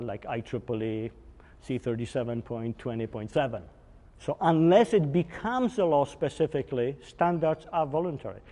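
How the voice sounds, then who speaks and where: A man's voice: a little high, darkish tone. A man in his fifties from Poland with a Polish accent, giving a technical workshop lecture, explaining step by step with some fillers and restarts. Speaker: engineering workshop presenter. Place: conference room. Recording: long hall reverb.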